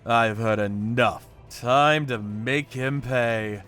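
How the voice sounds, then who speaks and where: A man's voice: mid-range voice, slow pace, fairly bright tone, clear, resonant tone, soft background music. A man voicing a smug, sadistic avenger who taunts his victims in a manga story. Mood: menacing.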